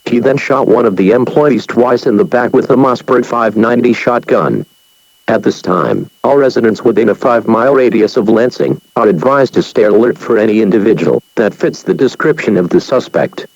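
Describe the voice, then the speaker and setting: A man's voice: medium pitch, animated, moderate pace, vocal fry, darkish tone, no room sound. A man reading as a formal emergency alert announcer. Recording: dull lo-fi broadcast audio.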